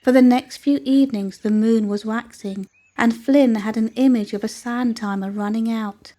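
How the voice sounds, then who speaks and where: A woman's voice: fairly high pitch, fairly even intonation, moderate pace, dark tone. A woman narrating a children's story as a scripted storyteller.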